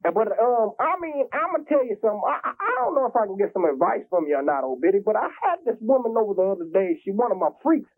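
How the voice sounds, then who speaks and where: A man's voice: high-pitched, big pitch swings, fast talker, few pauses. A man from Jamaica who calls in to a public access show and talks in a profane, boastful, rambling way. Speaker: caller. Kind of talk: crude phone-in rant. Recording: tinny phone line.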